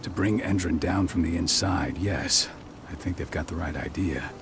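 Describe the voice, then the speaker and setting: An actor playing a calm, authoritative pack leader, measured and commanding, in a video game cutscene. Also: male voice, low-pitched, gravelly, over music. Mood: resolute.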